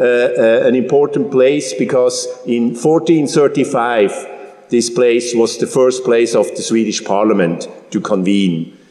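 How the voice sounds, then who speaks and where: Swiss-born man in his fifties, halting. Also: medium pitch, mellow. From Switzerland. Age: 50s. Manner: halting, many restarts and fillers. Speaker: guest speaker. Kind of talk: public talk. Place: large church hall. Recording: roomy hall with long reverb.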